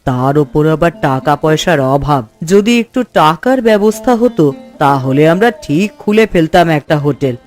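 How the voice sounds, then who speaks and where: A man's voice: on the high side, expressive, moderate pace, close to an everyday voice. A man voicing a poor, wistful elder village housewife in a cartoon, with music behind. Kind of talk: cartoon voice acting.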